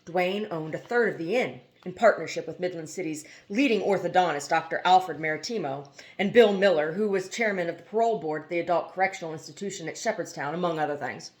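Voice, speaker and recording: A woman's voice: fairly low pitch, fast talker. A woman reading a novel aloud as scripted narration, now and then stumbling over a word. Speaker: amateur audiobook reader.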